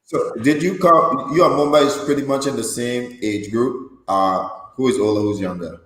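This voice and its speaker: A man's voice: mid-range voice, moderate pace, fairly bright tone, roomy sound, in a large hall. A man hosting a casual interview, cheering on his guest and asking long, rambling questions. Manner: encouraging, asks rambling questions. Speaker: podcast host.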